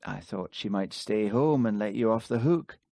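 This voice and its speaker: A defensive young woman astrologer, as a male narrator puts her on, her lines lifted to a lighter, higher pitch. She speaks in a close, dry audiobook recording. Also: normal speed, gravelly, mellow, no room sound.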